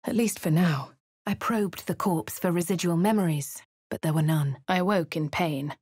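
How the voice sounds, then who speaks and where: An actress voicing a posh female adventurer with an English accent, speaking in a breathy, often half-whispered way. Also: on the low side, moderate pace, a little mellow, dry recording. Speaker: voice actor for a player character. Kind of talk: video game voice lines. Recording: dry studio booth.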